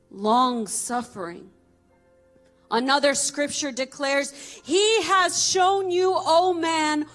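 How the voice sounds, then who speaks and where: High-pitched woman reading a devotional slowly. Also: a bit bright. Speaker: reader of a devotional article.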